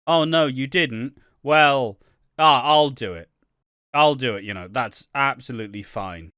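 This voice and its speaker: A man's voice: medium pitch, measured pace, sharp tone, clear, resonant tone, no room sound, clean studio sound. A man co-hosting a casual podcast about a TV soap, swearing freely and acting out characters' lines. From United Kingdom. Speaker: podcast co-host.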